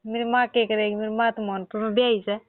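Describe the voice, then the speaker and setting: A woman's voice: fairly high pitch, little pitch movement, quick pace, no room sound. Woman, Haryanvi accent, insistent, questioning domestic phone talk, dry telephone-quality recording. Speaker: wife on a phone call. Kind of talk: informal domestic argument over the phone. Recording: muffled narrowband phone call recording.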